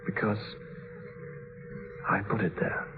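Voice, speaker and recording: A man's voice: takes it slowly, phone-quality sound, natural voice. A man playing a tormented, guilt-burdened husband in a 1950s radio drama, grave and bitter in delivery. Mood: bleak. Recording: thin, faintly noisy vintage radio recording.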